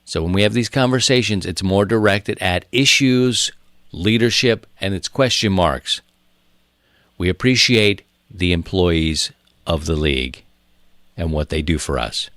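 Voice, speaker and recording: A man in his thirties talking through his podcast in a loose, rambling way, circling back and repeating his points. His voice sits on the low side and comes across dry and close, with no room around it. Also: measured pace, a bit bright, dry recording. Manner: rambling, conversational, repeats phrases for emphasis. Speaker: podcast host. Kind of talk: casual solo podcast talk.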